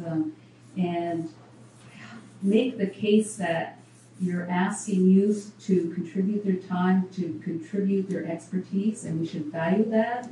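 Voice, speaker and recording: A woman's voice: fairly low pitch, mellow. A female researcher at a public café panel, speaking earnestly and firmly with frequent "um"s. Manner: earnest, firm, many "um"s. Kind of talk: panel discussion. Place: coffeehouse event room. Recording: echoey room, distant mic, faint noise.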